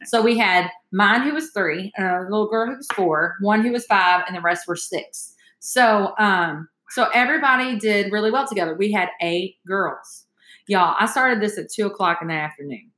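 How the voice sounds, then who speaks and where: A woman's voice: medium pitch, normal speed, bright, forceful tone, echoey room, low-quality recording. A woman, hosting a podcast and telling stories chattily, calling her listeners y'all. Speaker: podcast host. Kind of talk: casual storytelling chat.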